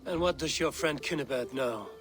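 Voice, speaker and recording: An actor playing a stern, blunt Viking warrior leader in a video game, terse and commanding. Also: male voice, medium pitch, normal speed, in a large hall, over music, lightly acted. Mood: terse, inquiring.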